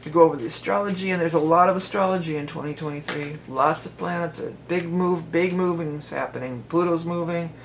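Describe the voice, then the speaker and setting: A woman's voice: brisk, few pauses, poor audio. Lady reading tarot cards, chatty and rambly, lots of you knows, bit of hiss behind her. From Cleveland, Ohio. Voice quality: lower voice than she once had. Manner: rambling, chatty, many fillers and restarts. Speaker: tarot reader and musician. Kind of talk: casual tarot reading vlog.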